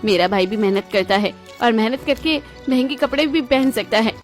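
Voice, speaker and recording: A woman voicing a gentle, loyal young sister from a poor family in a cartoon, speaking earnestly and plainly. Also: fairly high pitch, animated, normal speed, soft background music, close to an everyday voice. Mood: indignant. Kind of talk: cartoon moral-story dialogue in Hindi.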